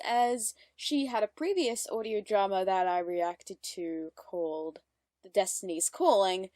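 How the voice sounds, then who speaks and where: A woman's voice: medium pitch, a little mellow, dry recording, studio quality. A woman hosting a reaction video in casual, chatty commentary, reading captions aloud.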